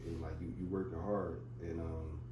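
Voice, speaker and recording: A man's voice: low-pitched, level tone, normal speed. A man in his thirties chatting casually as a podcast guest, laid-back and rambling, with many "like"s. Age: 30s. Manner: laid-back, talks in loose run-on phrases with many "like"s. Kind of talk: casual chat. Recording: echoey room, mic at a distance, noisy.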